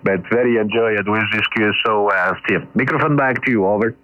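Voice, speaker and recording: Over a ham radio link, a man who is not a native English speaker talks haltingly, searching for words and repeating himself. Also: lowish voice, no echo. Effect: ham radio transmission. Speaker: amateur radio operator. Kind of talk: casual radio conversation. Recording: muffled, band-limited shortwave radio signal.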